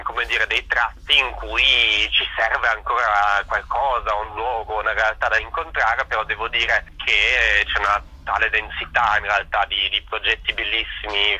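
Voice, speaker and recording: A man's voice: fairly low pitch, bright, forceful tone, breathy edge, rough recording. A man giving informal, spontaneous interview answers as an event organizer, hesitantly searching for his words.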